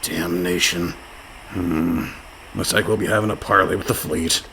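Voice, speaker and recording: Gravelly, surly pirate crewman. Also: low voice, fairly even intonation, a little slow, noisy background. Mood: eager, sardonic. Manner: salty pirate slang, sardonic. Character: surly, bloodthirsty pirate crewman, sardonic and brash. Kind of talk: comedic radio play acting.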